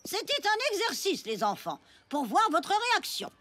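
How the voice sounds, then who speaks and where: A woman voicing a prim cartoon schoolteacher who tries to keep everyone calm, fussy, didactic and sing-song. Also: very high voice, moderate pace, heavily acted. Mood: gently scolding. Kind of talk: animated series dubbing.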